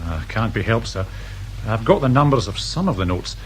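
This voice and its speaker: An actor playing a mild, apologetic police sergeant in a radio drama, respectful and hesitant. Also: male voice, fairly low pitch, big pitch swings, normal speed, slight background noise. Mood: polite. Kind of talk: British radio drama acting.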